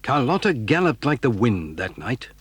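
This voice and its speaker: A man's voice: medium pitch, very animated, moderate pace, a little hiss or hum, lightly acted. A man narrating a children's adventure drama as a dramatic, scripted storyteller. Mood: suspenseful.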